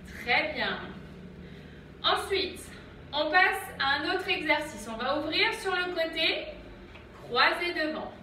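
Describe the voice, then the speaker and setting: A young woman leading a home workout, calmly counting reps and cueing breaths as she exercises in an attic room, recorded on a phone set far back. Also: sits high, takes it slowly, pauses often, very echoey, a little hiss or hum. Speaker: fitness instructor. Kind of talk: guided home workout instruction. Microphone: phone camera from a distance.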